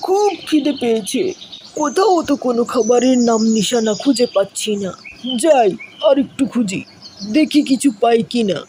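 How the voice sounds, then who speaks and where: Woman playing a high-pitched sly hungry cat. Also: lively intonation, a little slow, dark tone, a little hiss or hum. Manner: coaxing, flowery repeated praise. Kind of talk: children's moral cartoon voice acting.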